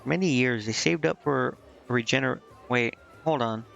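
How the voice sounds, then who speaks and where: A man's voice: mid-range voice, moderate pace, vocal fry, fairly bright tone. A man doing casual live game commentary, informally thinking aloud and reading dialogue out as he goes. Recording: dull, band-limited sound with music. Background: game music.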